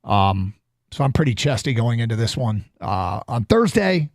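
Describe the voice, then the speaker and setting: A man's voice: medium pitch, sing-song, normal speed, no echo, studio quality. A man hosting a sports talk radio show, chatting casually and taking calls. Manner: conversational, takes calls. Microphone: studio microphone.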